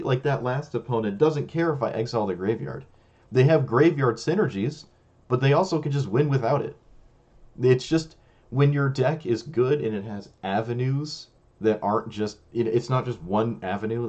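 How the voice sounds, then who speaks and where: A young man with a hoarse, failing voice, casually commenting on his own card game as a streamer, musing and self-critical. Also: medium pitch, darkish tone, dull audio.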